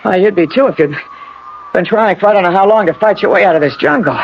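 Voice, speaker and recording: Gruff, exasperated, exhausted lost man. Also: high voice, fast talker. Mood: winded, gruff. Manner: urgent, curt, exasperated. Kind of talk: radio drama acting. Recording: dull old radio broadcast, faint noise.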